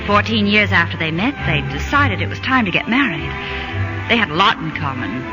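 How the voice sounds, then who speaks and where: A woman's voice: medium pitch, sing-song, fairly quick, lo-fi sound, music in the background, natural voice. An actress playing a poised, proper lady judge with a romantic side, in 1940s radio drama style. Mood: wistful, composed.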